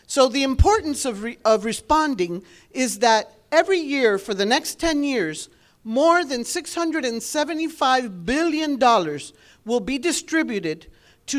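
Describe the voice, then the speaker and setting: A woman's voice: high-pitched, lively intonation, a little slow. A woman with an accent giving an earnest, explanatory informational speech to a community audience. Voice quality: speaks with an accent. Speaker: government outreach speaker.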